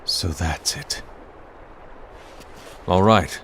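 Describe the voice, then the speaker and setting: Stern male game leader, lowish voice, measured and firm. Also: a bit bright, slight background noise, full character voice. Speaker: game character. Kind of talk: video game voice acting.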